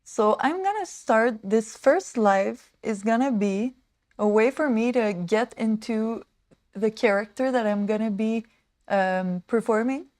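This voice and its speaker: A woman in her thirties chats through a makeover livestream, rambling and excitable, full of "like" and "um". She talks slowly and takes her time. Her voice is close and dry on a small desk mic. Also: on the high side, no echo. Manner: rambling, excitable, many fillers like "like" and "um". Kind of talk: casual chatty livestream talk.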